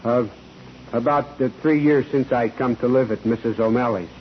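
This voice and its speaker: An actor playing a nervous, evasive bookkeeper who stammers and repeats himself on the stand in a 1940s radio courtroom drama. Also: male voice, mid-range voice, steady tone, normal speed, close to an everyday voice. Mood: uneasy, gossipy. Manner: stammering, repeating words, jittery. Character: nervous, evasive bookkeeper hiding a criminal past. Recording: muffled, noisy old 1940s radio recording.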